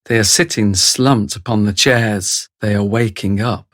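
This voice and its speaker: An actor playing a wry, detached storyteller, narrating a radio drama in a deliberate, deadpan manner. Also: male voice, fairly low pitch, no echo, clean studio sound.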